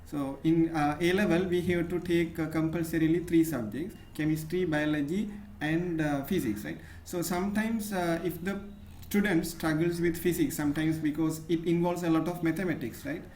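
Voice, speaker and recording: A man's voice: fairly high pitch, fairly even intonation, normal speed, darkish tone. A man with a Sri Lankan accent tutoring chemistry one-on-one, patient and often checking in with "right?". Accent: Sri Lankan English. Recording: echoey room, faint noise.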